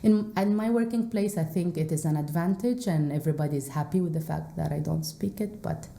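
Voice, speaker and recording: Low, mellow-voiced woman with echo. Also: brisk, creaky, a little hiss or hum. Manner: hesitant, many fillers and restarts. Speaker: interview guest, English teacher. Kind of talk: casual interview answers. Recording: echoey room.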